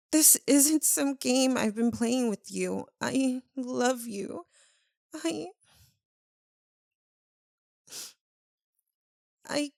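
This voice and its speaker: Lovesick vampire woman, voiced by an actress: anguished ASMR roleplay, halting and soft, mellow tone, very slow delivery. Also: sits high, vocal fry, no echo, studio quality, full character voice. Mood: pleading. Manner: halting, hushed, emotional delivery with long pauses. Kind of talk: ASMR roleplay, intimate dramatic monologue.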